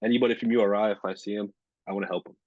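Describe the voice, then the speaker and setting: Casual guest, twenties, flat monotone, deep-ish voice, dry close mic. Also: male voice, very fast, no echo, phone-quality sound. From Rhode Island. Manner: monotone delivery, filler-heavy. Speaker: sports reporter and anchor, podcast guest. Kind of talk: casual interview chat.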